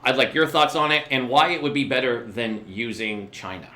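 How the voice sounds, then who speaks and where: A man's voice: mid-range voice, steady tone, normal speed, bright, forceful tone, reverberant. A man hosting a talk show, chatty and enthusiastic as he asks questions. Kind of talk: casual interview chat.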